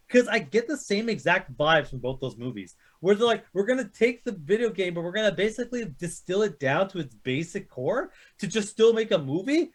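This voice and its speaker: A man chatting casually about movies as a panelist, blunt and opinionated, swearing heavily as he rants. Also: sits high. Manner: heavily profane, blunt, ranting. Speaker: panelist ranking films on a chat show.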